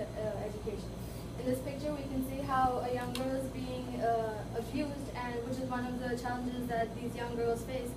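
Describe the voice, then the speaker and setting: A woman from India presents to a room, her speech halting and full of restarts. Her voice sits a little high and stays quite flat. It is picked up from a distance in an echoey room with loud background noise. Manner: halting, many fillers and restarts. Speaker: student presenter. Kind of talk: classroom presentation.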